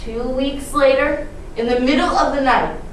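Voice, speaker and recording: A woman's voice: high voice, steady tone, normal speed. A young woman narrating a scripted story as a readers theatre performer. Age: young adult. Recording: distant, echoey hall with clear noise.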